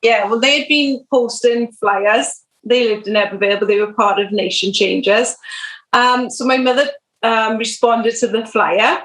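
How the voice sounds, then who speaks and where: Welsh woman guest, highish voice, meandering storyteller, roomy echo on a video call. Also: fairly even intonation, measured pace, a bit bright. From South Wales. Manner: rambling storyteller with many ums. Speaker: podcast guest. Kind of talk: telling her faith testimony. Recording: echoey room over video call.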